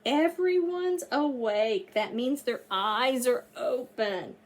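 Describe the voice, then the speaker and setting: A middle-aged woman reading aloud to young children like a teacher, sing-song and animated, often breathy, stretching key words and putting questions to her listeners. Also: fairly high pitch, slow. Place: small indoor room, seated by a door.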